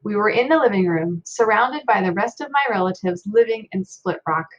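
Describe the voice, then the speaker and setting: A woman narrating a children's book aloud in an expressive storytelling style. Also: medium pitch, moderate pace, muffled recording.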